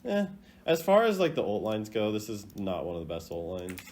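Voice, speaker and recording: A young man giving casual, playful reaction commentary as a gaming video host, jumping between loud exclamations and low mutters. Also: huge pitch swings, brisk. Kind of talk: casual reaction commentary ranking game voice lines.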